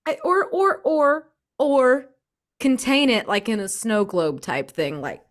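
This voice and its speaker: Animated woman chatting through a tabletop game. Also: on the high side, takes it slowly. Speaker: tabletop RPG player. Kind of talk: casual collaborative game chat.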